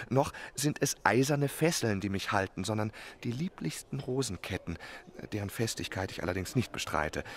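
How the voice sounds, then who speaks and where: An actor in a German radio drama playing a lovesick, spoiled young French marquis who talks in an affected, confiding way. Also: male voice, on the low side, slight background noise, natural voice. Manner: affected, florid, confiding speech.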